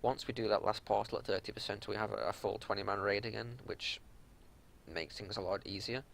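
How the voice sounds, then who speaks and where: A man with a fairly low, creaky voice talks through a gameplay review off the cuff. He sounds like a raid leader, matter-of-fact and a little exasperated as he explains. There is some background noise with a low rumble. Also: brisk, fairly bright tone. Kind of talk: unscripted game analysis commentary.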